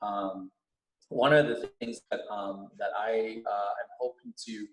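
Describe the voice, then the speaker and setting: Earnest man, roomy echo, halting speech. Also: fairly low pitch, fairly bright tone. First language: Vietnamese. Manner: halting, many restarts. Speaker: teacher and school board candidate. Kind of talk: earnest interview talk.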